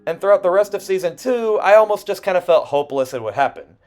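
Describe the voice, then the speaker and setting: A man narrating a personal video essay in an expressive way, with self-deprecating asides and dramatic pauses. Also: high-pitched. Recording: music under the voice. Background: background music.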